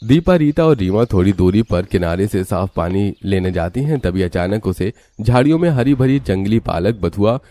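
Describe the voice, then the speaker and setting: Bright-voiced male story narrator, dark edges absent. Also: on the low side, lively intonation, moderate pace, few pauses.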